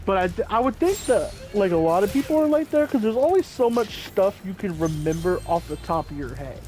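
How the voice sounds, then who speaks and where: A young man in a casual let's-play, talking off the cuff with a slightly raised pitch and lots of "like" fillers. Soft game music plays faintly behind his desk mic. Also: big pitch swings, brisk. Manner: rambling, many "like" fillers. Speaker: gaming video host.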